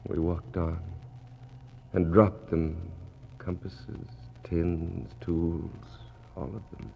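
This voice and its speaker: An actor playing a doomed, starving polar expedition officer in a 1960s radio drama, despairing yet resolute, with slow, halting, incantatory delivery and a gravelly voice. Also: male voice, low voice, mellow, heavily acted. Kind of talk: 1960s radio drama acting, poetic monologue. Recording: muffled, noisy 1960s radio recording.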